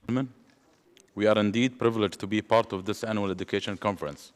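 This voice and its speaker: A man opens a panel as its chair in a formal, steady, scripted way. His voice sits fairly low and carries a long hall reverb. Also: fast talker. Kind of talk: formal prepared remarks. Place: large conference hall.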